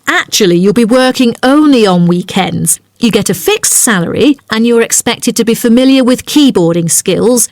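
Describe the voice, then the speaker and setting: Female job agency adviser, helpful n businesslike, scripted dialogue, highish pitch, no room echo. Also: lively intonation, normal speed, hardly any pauses, darkish tone. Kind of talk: scripted listening-test dialogue.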